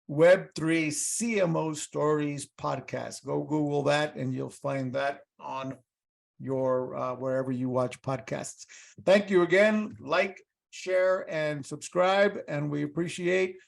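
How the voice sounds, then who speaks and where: A man's voice: fairly high pitch, vocal fry. A male podcast host chatting casually with a guest and giving an upbeat, rambling sign-off with calls to like and subscribe. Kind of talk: casual interview chat and sign-off.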